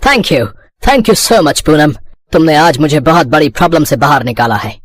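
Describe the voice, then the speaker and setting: A man's voice: fairly high pitch, expressive, normal speed, no echo. An actor playing a polite, earnest foreign businessman in a cartoon moral story, hesitant and mixing in English phrases. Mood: grateful.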